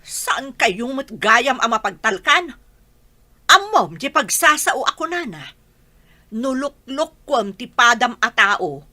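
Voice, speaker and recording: A woman's voice: on the high side, very animated, slow pace, pushed and bright, exaggerated voice. A woman playing an indignant married older sister and farm owner, with an Ilocano accent, in a comedy radio drama.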